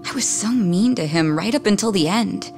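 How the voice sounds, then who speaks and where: A woman's voice: medium pitch, animated, normal speed, a little mellow, soft background music, natural voice. An actress playing a blunt, wounded young woman in a video game, speaking frankly and with emotion. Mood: regretful. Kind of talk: English game voice acting.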